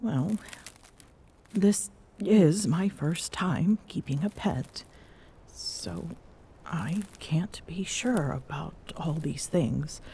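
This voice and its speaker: A woman voicing a tall, proud Amazonian queen who is smitten and flustered, speaking haltingly in regal phrases for an intimate ASMR roleplay. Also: low voice, warm tone, a little hiss or hum, exaggerated voice. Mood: composed, bemused.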